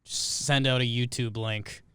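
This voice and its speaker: A man's voice: mid-range voice, steady tone, a little slow, a bit bright, smooth. An American man co-hosting a sports podcast with casual, loose banter. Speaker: podcast co-host.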